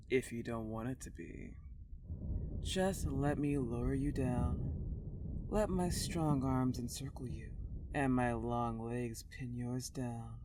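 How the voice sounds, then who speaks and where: A woman playing a dark, possessive older vampire countess in an intimate ASMR roleplay, teasing and condescending as she lingers on her words. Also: a bass voice, noisy background.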